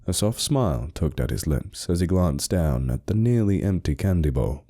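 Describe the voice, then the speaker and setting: A man narrating a scripted horror story like a dramatic audiobook, in a measured, deliberate and ominous way. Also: sits low, sing-song, warm tone.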